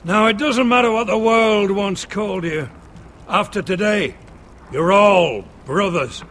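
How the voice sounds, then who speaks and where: An actor playing a solemn, commanding officer who formally addresses recruits in a fantasy video game. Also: male voice, high voice, a bit bright, slight background noise, exaggerated voice. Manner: formal, measured, declamatory.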